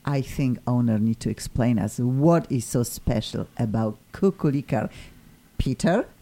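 A Polish-accented woman hosts a live radio talk show, her voice dark and mellow in tone. She gets excitable, with big sudden jumps in pitch, and her English phrasing is a little broken. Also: a little slow. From Poland.

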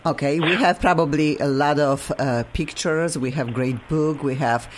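Polish woman co-host, lively accented English. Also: low-pitched, normal speed, slight background noise. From Poland. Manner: animated, broken English phrasing. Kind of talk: radio talk show chat.

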